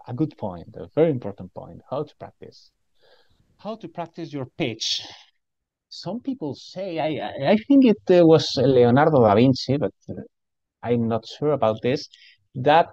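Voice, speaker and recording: A man's voice: mid-range voice, mellow, dry recording, dull audio. A man with a strong Spanish accent talks over training slides in a chatty way, often asking "okay?" and saying things twice. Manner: chatty, repeats himself, asks "okay?". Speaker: pitch trainer.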